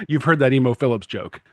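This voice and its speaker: A man's voice: mid-range voice, fairly bright tone, no echo. A man hosting a casual podcast chat, steering the talk in a wry, conversational way. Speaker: podcast host.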